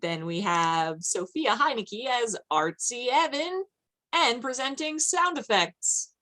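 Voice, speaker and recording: A woman's voice: a little high, lively intonation, slow, sharp tone. A woman hosting an online event in an effusive, upbeat way. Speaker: event host. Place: online video call.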